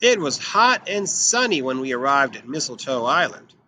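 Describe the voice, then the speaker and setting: A man reading a children's picture book aloud in an expressive, emphatic way. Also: mid-range voice, moderate pace, slight background noise. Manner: animated, emphatic delivery. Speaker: children's storybook reader.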